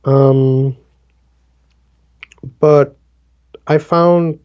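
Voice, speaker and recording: A man's voice: fairly high pitch, fairly even intonation, very slow, warm tone, clean tone, dull audio. A man in his twenties from the Dominican Republic, chatting casually and rambling with many fillers like "like" and "um". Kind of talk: casual conversation.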